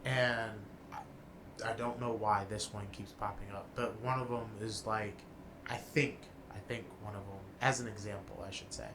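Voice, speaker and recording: A man's voice: fairly low pitch, fairly even intonation, moderate pace, noisy background. A man co-hosting a casual chat, talking in long rambling stretches with restarts. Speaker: podcast co-host. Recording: roomy with faint ambience.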